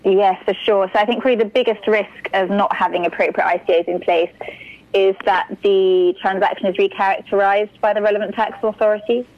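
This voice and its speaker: A woman giving a measured explanation as an expert guest in an interview. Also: mid-range voice, steady tone. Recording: slightly muffled call audio.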